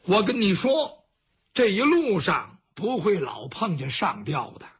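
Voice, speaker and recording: A storyteller voicing a gentle young male scholar who speaks kindly and calmly, like a teacher. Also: sits high, breathy edge, full character voice. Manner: gentle, lecturing, unhurried.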